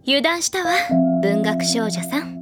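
Sly older schoolgirl, teasing, unruffled, voice swings around a lot, highish, loud music behind. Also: expressive, a little slow. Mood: coy, teasing. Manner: teasing, nonchalant. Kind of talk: Japanese audio drama acting.

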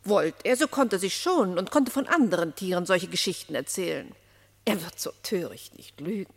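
Expressive, stately animal queen. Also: on the low side, normal speed, vocal fry. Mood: persuasive. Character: gracious, persuasive animal queen. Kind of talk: German radio play verse acting. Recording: reverberant studio sound.

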